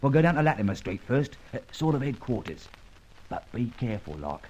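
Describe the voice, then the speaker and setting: An actor playing a chummy, wary small-time crook, slangy and confiding, in old-time radio detective style. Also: male voice, mid-range voice, big pitch swings, a little fast, a little mellow. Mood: chummy, furtive. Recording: muffled, hissy old radio recording.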